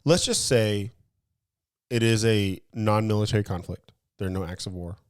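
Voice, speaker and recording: A man's voice: lowish voice, normal speed, smooth. A man co-hosting a casual talk podcast, explaining through analogies and asking his partner leading questions. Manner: talks in analogies, asks leading questions, frequent restarts. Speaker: podcast co-host. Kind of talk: casual chat.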